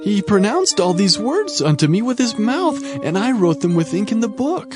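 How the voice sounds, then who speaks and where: High-voiced earnest biblical scribe. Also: male voice, very animated, normal speed, over music. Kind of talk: dramatized scripture reading.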